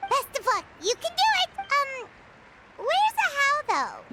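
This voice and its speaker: A woman's voice: very high-pitched, wildly swooping pitch, a little slow, exaggerated voice. A woman voicing a tiny, curious, chirpy childlike companion in an anime-style game. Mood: cheerful. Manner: chirpy, exclamatory. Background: loud game music.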